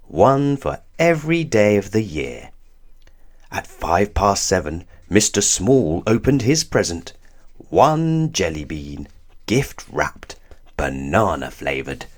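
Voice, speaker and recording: A man reading a children's story aloud in an expressive, deliberate way, drawing out words for emphasis. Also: lowish voice. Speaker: storybook reader. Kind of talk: scripted children's story narration read aloud.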